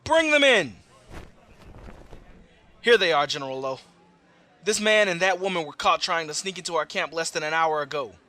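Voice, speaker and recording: A man playing a brisk, suspicious military captain in an audio drama, reporting in a clipped, formal way. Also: on the high side, lively intonation, sharp tone. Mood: stern, accusing. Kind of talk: scripted audio drama acting.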